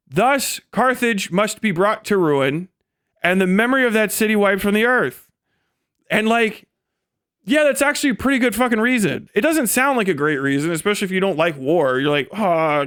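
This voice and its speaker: A man livestreaming an opinionated, off-the-cuff rant, blunt, sweary and sardonic, acting out imagined exchanges in an animated way. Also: sits high, no echo, clean studio sound. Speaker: livestream host.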